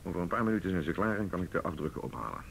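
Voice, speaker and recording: An actor playing a determined, confident police detective in a radio drama, with a Netherlands Dutch accent. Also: male voice, low-pitched, lively intonation, rapid-fire, gravelly, a bit bright, a little hiss or hum, exaggerated voice. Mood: insistent, confident. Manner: insistent, confident.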